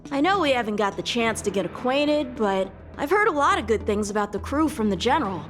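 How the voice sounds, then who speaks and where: A woman's voice: a little high, lively intonation, a little fast, lightly acted. A woman voicing an earnest, eager young warrior in a fantasy game, polite but informal and candid. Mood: friendly, admiring. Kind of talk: scripted game voice acting. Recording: background music.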